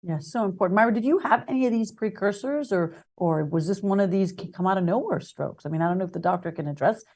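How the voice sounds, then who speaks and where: A woman's voice: mid-range voice, expressive, fast, a little mellow. A woman hosting a conversational interview, chatty and responsive to her guests. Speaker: interview host.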